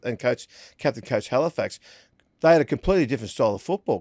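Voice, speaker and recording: An Australian man speaks casually as a sports podcast panelist, with a mid-pitched voice. He is blunt and colloquial, and the recording is dry and close. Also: a little mellow, dry recording. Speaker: rugby league podcast panelist.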